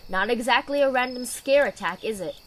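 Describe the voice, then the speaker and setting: Female knight commander acted by a woman, higher pitch, measured authority, weary, cautious, audio drama, background noise. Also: natural voice, rough recording. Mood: pondering.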